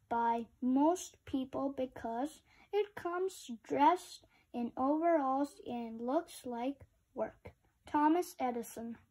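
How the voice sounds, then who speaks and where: High-voiced, slow-paced female storybook reader. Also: warm tone, rough recording. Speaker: read-aloud host. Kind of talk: reading a picture book aloud with casual asides to children.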